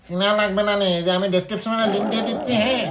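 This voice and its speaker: Man putting on a fast, pushy Bangladeshi prank caller. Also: sits high, over music, lightly acted. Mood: insistent. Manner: insistent, nagging, mock-indignant. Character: pushy, impatient male coconut seller and delivery prank caller. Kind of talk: prank phone call.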